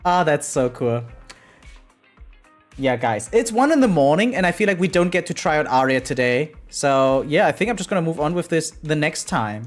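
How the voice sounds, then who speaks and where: Woman streamer just chatting to her viewers, lowish voice, game music in the back. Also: expressive, moderate pace. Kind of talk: casual live-stream chat and reactions.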